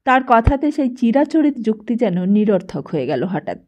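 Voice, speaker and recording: Woman narrating a novel, fairly high voice. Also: big pitch swings, moderate pace, a little mellow, muffled recording. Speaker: audiobook narrator. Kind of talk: expressive literary story narration.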